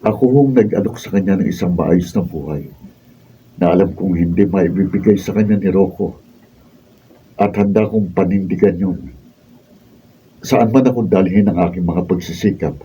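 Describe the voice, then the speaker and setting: A man acting a steadfast young Filipino husband in a radio drama, very deep and dark in tone. He speaks solemnly and earnestly, picked up from a distance over faint noise. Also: normal speed, long pauses, natural voice. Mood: firm, earnest. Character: earnest, steadfast young husband and father defending his love. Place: recording studio, behind-the-scenes camera recording. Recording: roomy distant mic, faint background noise.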